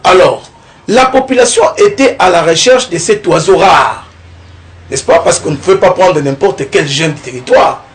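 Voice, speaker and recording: A young man from the Kongo Central region of DR Congo, a political candidate giving a TV interview, talking in a lively way and often tagging his points with a rising question. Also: a little high, moderate pace. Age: young adult. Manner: animated, keeps adding "n'est-ce pas?", rising tone on points.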